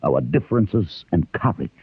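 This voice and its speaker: An actor playing a grave, learned leader of mutant outcasts in a 1950s radio drama, speaking in a solemn, measured, oratorical way. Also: male voice, deep voice, big pitch swings, slightly rough, no echo, heavily acted. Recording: muffled, narrow-band old radio recording.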